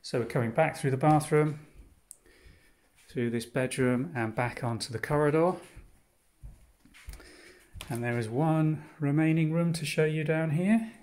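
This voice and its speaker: A man showing visitors around his holiday cottage, describing each room in an unhurried, itemizing way as he walks. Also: on the high side, darkish tone. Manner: unhurried, descriptive, pausing between items. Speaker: holiday cottage owner giving a tour. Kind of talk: guided walk-through house tour. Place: inside a cottage, walking room to room.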